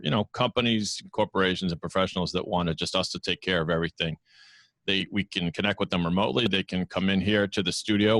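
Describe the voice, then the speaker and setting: A chatty, self-deprecating man speaks into a studio microphone from his podcast studio. His voice sits very low, and the sound is close and dry with no room around it. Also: fairly bright tone, no echo. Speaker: podcast network founder, guest. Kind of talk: casual interview over video call. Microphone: studio microphone with headphones.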